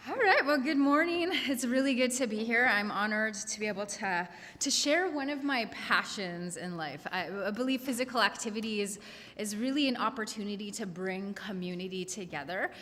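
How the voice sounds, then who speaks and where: A woman from Saskatchewan giving an earnest, measured conference presentation, with some hesitations. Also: mid-range voice, fairly bright tone, a little hiss or hum. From Saskatchewan, Canada. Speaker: conference panelist. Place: conference hall. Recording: long hall reverb.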